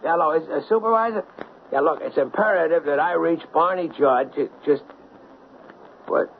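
A man's voice: a little high, normal speed, close to an everyday voice. Male actor for hard-nosed police sergeant, radio play; urgent and blunt, muffled noisy broadcast sound. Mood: urgent, exasperated. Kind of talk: radio drama acting.

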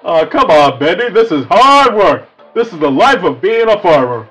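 Man voicing a high-pitched, good-natured cartoon wolf. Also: sing-song, normal speed, fairly bright tone. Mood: earnest. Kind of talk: improvised cartoon roleplay. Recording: slightly dull lo-fi mic, music behind.

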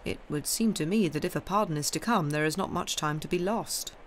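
A man voicing a troubled young gentleman in an audiobook, questioning with concern. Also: fairly high pitch, fairly quick, a little hiss or hum, natural voice.

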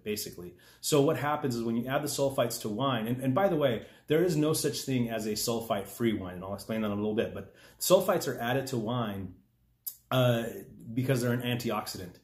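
A man's voice: medium pitch, lively intonation, moderate pace. A man in his forties, a winemaker, explaining wine questions in a friendly casual way, often restarting his sentences, filmed across a table indoors. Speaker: winemaker answering viewer questions. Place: dining room at a table, indoors. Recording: echoey room.

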